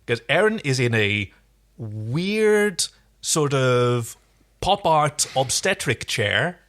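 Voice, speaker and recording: A man co-hosting a casual TV recap, sometimes halting as he searches for words, then rushing on. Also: medium pitch, very animated, fairly bright tone. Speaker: podcast co-host. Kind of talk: casual TV episode recap discussion.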